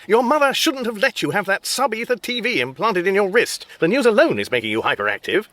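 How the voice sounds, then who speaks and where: A man's voice: high voice, sing-song, quick pace, sharp tone, no room sound, full character voice. An actor playing a fussy, exasperated middle-aged father with an English accent, dry and pedantic, in a radio comedy drama.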